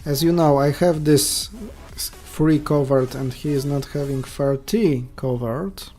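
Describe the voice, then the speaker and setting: A man's voice: a little high, a little slow, dark tone, faint music behind. Polish-accented man commenting his live games. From Poland. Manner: thinks aloud, chatty asides to viewers. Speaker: checkers streamer.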